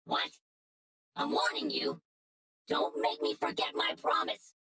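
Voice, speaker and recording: An actress playing a menacing, smug female hacker in a video game, taunting and commanding, with a breathy, gravelly edge. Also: measured pace, sharp tone. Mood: furious, threatening. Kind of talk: English video game voice acting.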